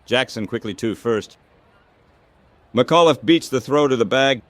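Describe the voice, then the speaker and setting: A man calling baseball play-by-play in measured, clipped phrases, like scripted radio commentary. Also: medium pitch, a bit bright, no echo.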